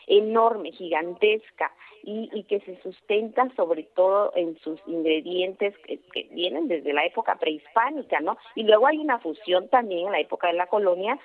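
A Mexican woman from Oaxaca, a guest speaking earnestly by phone on a radio show, pausing often with fillers and restarts. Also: medium pitch, lively intonation. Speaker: guest, traditional cooking expert. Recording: tinny phone line.

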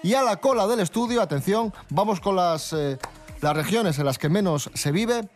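Asturian guy hosting morning radio, reads numbers, high voice, soft music bed. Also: expressive, moderate pace. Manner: reads figures and cues segments. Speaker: radio host. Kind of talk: radio morning show chat. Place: radio studio.

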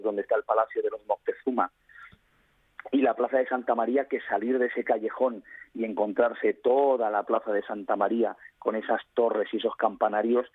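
Hombre con acento extremeño, detallista y explicativo, voz áspera, sonido de teléfono seco. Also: male voice, medium pitch, breathy edge, no echo. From Cáceres, Spain. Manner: detailed, explanatory, with frequent fillers. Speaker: tour guide. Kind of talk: interview guest over the phone. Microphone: telephone. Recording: tinny phone line.

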